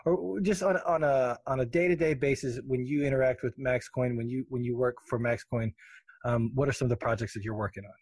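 A man's voice: mid-range voice, steady tone, brisk, darkish tone, husky, no room sound. A man hosting a podcast in an upbeat, chatty, jokey, unscripted way. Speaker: podcast host.

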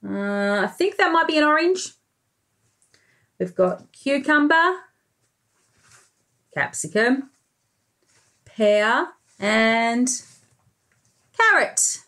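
An Australian-accented woman, a preschool teacher in her thirties, reading a picture book aloud expressively with questions and deliberate emphasis. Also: fairly high pitch, sing-song, a bit bright. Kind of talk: picture-book read-aloud for young children. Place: small room indoors by a window.

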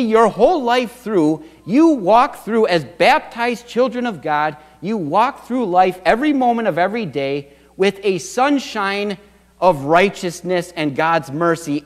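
Middle-aged pastor preaching in a reverberant church. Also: male voice, a little high. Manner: earnest preaching with wide gestures and swells of emphasis. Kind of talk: sermon. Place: church sanctuary at a wooden pulpit.

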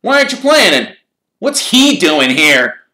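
A man reading an audiobook, voicing a hot-headed teenage schoolboy in a high, loud, indignant voice. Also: very animated, a little slow, reverberant, heavily acted. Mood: puzzled.

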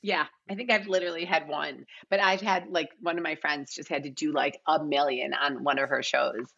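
A woman's voice: low voice, steady tone, brisk, gravelly, fairly bright tone, husky. A middle-aged woman chatting casually as a podcast guest, in a conversational way peppered with "like" and "you know". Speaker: guest, casting director. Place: large living room at home, indoors. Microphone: video call, no close mic seen. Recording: slightly dull video-call audio.

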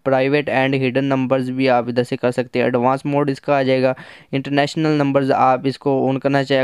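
Even-toned, clear man, tech tutorial. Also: medium pitch, dry recording, studio quality. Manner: conversational, instructional, mixes in English terms. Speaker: tech app reviewer. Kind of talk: casual hands-on phone app tutorial.

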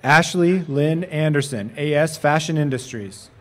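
A man announcing graduates' names and degrees at an outdoor commencement in a steady, formal way over a podium microphone. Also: on the high side, measured pace. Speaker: graduation name reader. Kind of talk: reading graduate names at a ceremony. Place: outdoor stadium commencement stage.

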